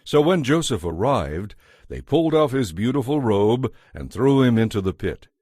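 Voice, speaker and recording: A man's voice: medium pitch, very animated, moderate pace, a little mellow, breathy edge, no room sound, studio quality. A man giving a scripted narration as the narrator of a dramatized audio Bible.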